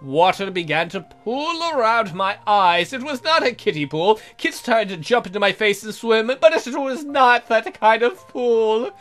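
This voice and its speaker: A man with a high, bright voice reads a visual novel aloud as a let's play host. His delivery is exaggerated and comic, breaking into joking asides, with music playing faintly behind him. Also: lively intonation, a little slow, hardly any pauses. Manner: theatrical, jokey, exaggerated.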